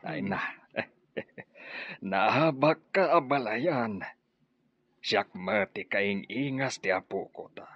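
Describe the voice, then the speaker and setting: A man acting as an elderly, doting village grandfather in a radio drama, with a husky voice and an Ilocano accent. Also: fairly low pitch, takes it slowly, no room sound. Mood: pleased. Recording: dull, muffled lo-fi audio.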